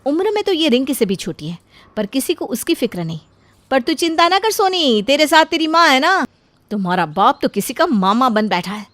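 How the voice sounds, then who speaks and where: A woman playing a bitter, jealous young wife and mother in an animated moral story, scolding and sarcastic. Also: sits high, normal speed, dry recording.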